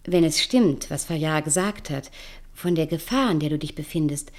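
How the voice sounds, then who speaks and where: An actress playing a cool, sardonic, secretly tender Parisian woman in a German radio play, deliberate and intimate in manner. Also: sits low, a little mellow, natural voice. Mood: anxious, tender.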